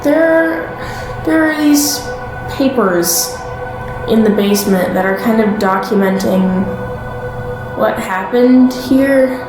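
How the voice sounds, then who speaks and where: Woman acting young female drama character, hesitant, uneasy, unhurried delivery, understated realism, roomy sound. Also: on the high side, animated. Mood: hesitant, secretive. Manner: halting, hesitant, trailing off. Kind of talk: naturalistic fiction podcast acting. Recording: roomy echo, music underneath. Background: music.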